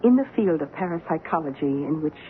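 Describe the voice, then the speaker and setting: An actress playing a careful, earnest middle-aged widow in an old-time radio drama, hesitant but insistent. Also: female voice, deep voice, expressive, quick pace. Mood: calm, explaining. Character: earnest widowed friend, calm believer in parapsychology. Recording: phone-like, noisy old radio recording.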